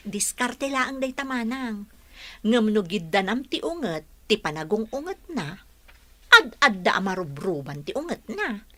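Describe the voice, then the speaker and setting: A woman's voice: medium pitch, sing-song, a little slow, bright, forceful tone, heavily acted. An actress playing a caring, persistent female caregiver in a radio drama, speaking respectfully. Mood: light, chatty. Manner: pleading, respectful. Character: caring, persistent young female caregiver coaxing her patient. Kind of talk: Ilocano radio drama acting.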